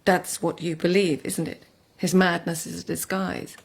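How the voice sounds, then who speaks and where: An actress playing a shrewd, sceptical court lady in a radio drama, asking quiet, pointed questions. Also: on the low side, little pitch movement, normal speed, vocal fry, husky. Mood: sceptical, probing. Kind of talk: BBC radio drama acting.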